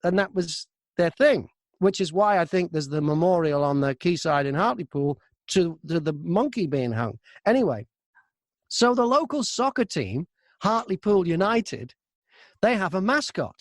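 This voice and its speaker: A man's voice: sits high, big pitch swings, moderate pace, no echo. British man telling stories in an interview. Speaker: audiobook narrator and broadcaster. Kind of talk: casual interview storytelling.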